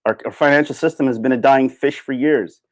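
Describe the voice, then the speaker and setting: A man giving a casual, opinionated livestream monologue in an animated, sardonic way. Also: medium pitch, a little fast. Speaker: livestream host.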